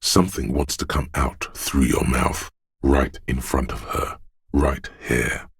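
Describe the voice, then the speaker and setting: An actor voicing an ominous, insinuating inner voice in a video game, very deep, rasping and whispery, in slow second-person narration. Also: male voice, level tone, no room sound, clean studio sound, full character voice.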